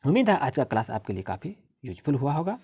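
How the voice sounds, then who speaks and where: An Indian-accented woman teaching young children, explaining textbook exercises in an instructive way and reading out English slowly, word by word. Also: extremely low pitch, sing-song, dark tone, slightly rough. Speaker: primary school teacher.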